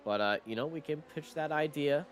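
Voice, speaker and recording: Raspy-voiced man chatting about sim racing. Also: fairly high pitch, a little fast. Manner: rambling, many fillers and restarts. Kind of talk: casual chat on a live racing stream.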